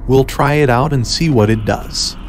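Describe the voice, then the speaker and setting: A man reads a scripted game walkthrough in a mid-range voice. His delivery is measured and instructive, with faint noise and game ambience behind him. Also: mid-range voice. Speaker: video game guide narrator. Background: video game ambience.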